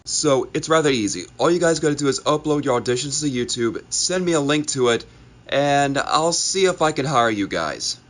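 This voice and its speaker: A man's voice: medium pitch, lively intonation, moderate pace. Casual guy making an announcement. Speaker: amateur video creator and voice actor. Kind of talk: casual scripted-feeling vlog announcement.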